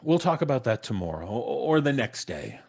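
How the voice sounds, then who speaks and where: A jovial, pompous father in a radio play. His husky voice swings up and down as he blusters away any talk of ghosts. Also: medium pitch, very animated, fairly quick, darkish tone. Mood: dismissive. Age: middle-aged. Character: blustery, unflappable American father, dismissive of ghosts. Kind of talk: old-time radio drama acting. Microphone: studio microphone on a video call.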